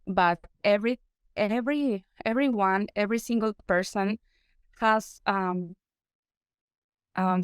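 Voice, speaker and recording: Peruvian woman guest, halting second-language English, smooth and clear, dry close sound. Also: mid-range voice, no echo. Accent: Peruvian Spanish. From Peru. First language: Spanish. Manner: halting, searching for English words. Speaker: guest consultant, periodontist. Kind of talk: interview answers.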